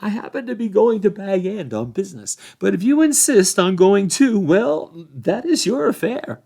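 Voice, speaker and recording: Man voicing a lofty gentleman hobbit, high-pitched. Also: sing-song, vocal fry, exaggerated voice. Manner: polite, lofty, deliberate wording. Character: genteel hobbit gentleman, amused, haughty and unruffled.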